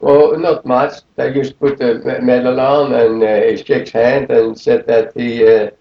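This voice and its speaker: A man, recalling the past in a rambling, halting way full of fillers in an oral history interview. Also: mid-range voice, level tone. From Netherlands. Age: 80s. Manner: rambling, halting, many fillers like "you see". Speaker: interviewee, war veteran. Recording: roomy lo-fi 1980 tape.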